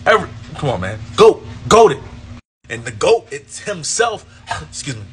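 A young man giving a casual, animated post-game review as a sports fan, rambling with frequent restarts. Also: fairly high pitch, measured pace.

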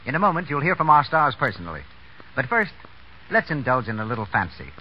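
A man's voice: mid-range voice, sing-song, fast talker. An American man announcing in polished, persuasive 1930s radio style. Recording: scratchy muffled 1930s radio broadcast.

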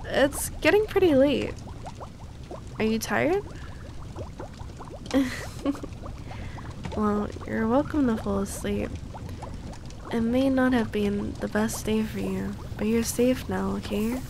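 A woman playing a cute, kind and teasing young alchemist girl in an ASMR roleplay, speaking softly and soothingly close to the mic. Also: fairly high pitch, creaky, background noise. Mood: gentle, caring. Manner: soft, soothing, unhurried, playfully reassuring.

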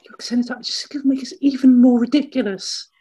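A woman's voice: high voice, steady tone, fast talker, dark tone, husky. A woman playing an earnest, cliquish senator in improvised comedy, pleading and repeating herself. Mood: pleading, exasperated. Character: earnest, image-conscious senator, cliquish and reluctant.

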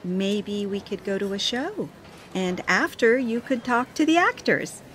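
A woman's voice: medium pitch, lively intonation, a little slow, slight background noise, close to an everyday voice. A woman reading a scripted coursebook dialogue as an upbeat, chatty photographer.

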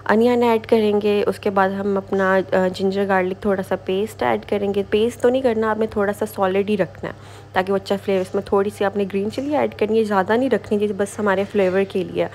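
Woman doing a casual cooking voiceover, bit of vocal fry, repeats her instructions, drops in english words. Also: medium pitch, steady tone, a little fast, darkish tone. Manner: informal, repetitive instructing with English kitchen words mixed in. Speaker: home cooking show host. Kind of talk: casual recipe voice-over, step-by-step instructions.

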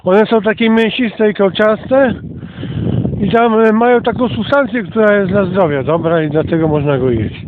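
A man with a high-pitched voice chats casually as he vlogs a walk outdoors. The sound is thin and tinny like a phone line, with some light noise around him. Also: moderate pace. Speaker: vlogger.

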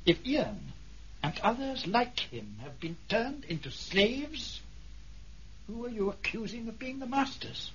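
An actor playing a blustering, pompous British banker knight in a 1970s radio drama. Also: male voice, very high voice, big pitch swings, a little slow, a bit bright, full character voice. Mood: dismissive. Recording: dull, noisy 1970s radio recording.

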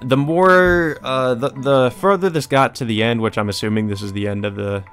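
A man's voice: mid-range voice, steady tone, moderate pace, a bit bright, smooth. Man casually commenting over game music. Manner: blunt, profane. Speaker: let's player.

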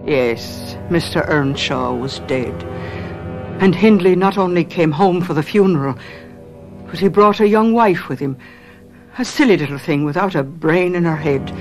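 An actress playing a caring, watchful housekeeper who tells the family's story in a radio drama, plain-spoken. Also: female voice, fairly low pitch, unhurried, a little mellow, faint music behind. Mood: reflective. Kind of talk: radio drama narration and acting.

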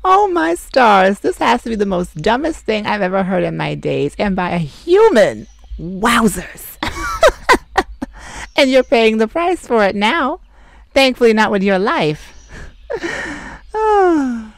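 A snarky male forest fae teases and insults with blunt sass. The voice swings widely in pitch. It sits close to the mic in a dry, roomless sound. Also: very animated, slow, gravelly, pauses often, no room sound, full character voice. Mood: sassy teasing. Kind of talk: ASMR roleplay.

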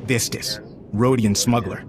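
A man playing a brisk, serious military intelligence agent in a video game cutscene, speaking in a clipped, businesslike way. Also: medium pitch, fairly even intonation, crowd noise, lightly acted. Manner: clipped, businesslike briefing delivery.

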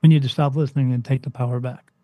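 A man from New Jersey, a spirit medium interviewed as a guest, talking emphatically and insistently, his voice soothing and deep. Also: fairly even intonation, quick pace, warm tone, no room sound. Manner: emphatic, insistent, swings up in pitch when stressing points. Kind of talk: interview, impassioned preaching monologue.

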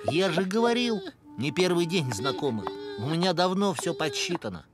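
Prudent hedgehog, cartoon, precise, earnest, lively melody, backing music. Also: mid-range voice, normal speed. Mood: worried. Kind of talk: educational cartoon dialogue.

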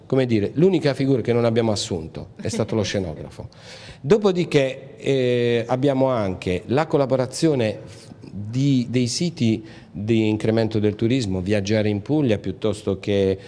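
A man's voice: medium pitch, lively intonation, moderate pace, mellow. A middle-aged man, a producer talking casually into a handheld mic, joking and rambling with many fillers. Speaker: show producer. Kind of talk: casual interview talk. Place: indoor hall. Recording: reverberant hall.

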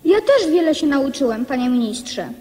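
High-voiced, earnest boy king. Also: big pitch swings, moderate pace, dark tone. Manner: earnest, polite. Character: boy king, small, brave, polite and earnest. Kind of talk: radio drama acting. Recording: reverberant hall-like sound.

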